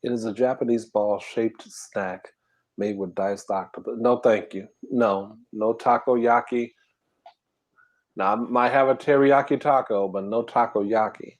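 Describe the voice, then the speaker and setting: A man hosting a casual eating stream, talking softly and half-whispering in a relaxed, drowsy way while reading comments. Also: fairly low pitch, a little slow. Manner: soft, quiet, half-whispered talk. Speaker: ASMR mukbang channel host.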